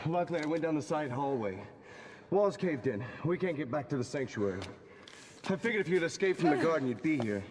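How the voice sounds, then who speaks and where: A man's voice: a little high, expressive, a little fast, lightly acted. An actor playing an anxious, brash young adventurer in a video game, urgent and plain-spoken as he takes charge. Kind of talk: dramatic video game acting. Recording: echoing hall, background noise and clicks.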